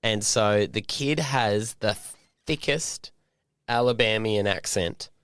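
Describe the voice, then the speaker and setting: A middle-aged man co-hosting a podcast, chatting casually and teasing with quick storytelling. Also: lowish voice, a bit bright, smooth. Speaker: podcast co-host. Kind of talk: casual chat.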